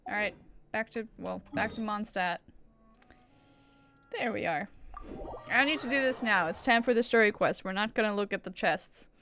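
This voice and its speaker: A woman's voice: medium pitch, normal speed, pauses often, a bit bright. A woman streaming a game with casual commentary, playful, reactive and easily distracted. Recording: faint game music behind.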